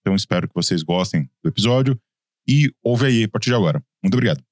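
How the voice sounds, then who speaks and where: A man's voice: lowish voice, animated, quick pace, noisy background. A man with a São Paulo accent hosting a history podcast in a friendly, chatty way, often restarting his phrases. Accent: São Paulo Portuguese. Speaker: podcast host. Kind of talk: casual podcast chat.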